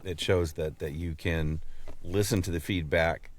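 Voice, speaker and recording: A man's voice: low voice, steady tone, unhurried. A man co-hosting a podcast, speaking in casual chat. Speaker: podcast co-host.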